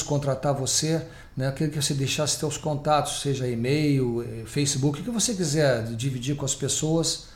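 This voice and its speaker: A man's voice: mid-range voice, reverberant. A man hosting a radio interview, with a friendly way of talking. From Brazil. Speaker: radio host.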